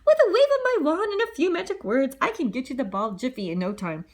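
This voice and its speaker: A woman's voice: high-pitched, animated, quick pace, voice pushed up. A woman voicing an elderly, kindly, absent-minded fairy godmother in a read-aloud storybook. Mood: fussing kindly. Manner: fussy, rambling, absent-minded.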